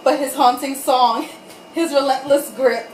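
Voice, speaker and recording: A woman's voice: high voice, steady tone, a little slow. A tipsy woman giving an irreverent, giggly dramatic reading, hosting for a group and breaking off for asides. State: tipsy from wine. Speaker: reader and host of a comic group reading. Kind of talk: dramatic reading of erotic fiction with joking asides. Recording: roomy, distant mic, faint background noise.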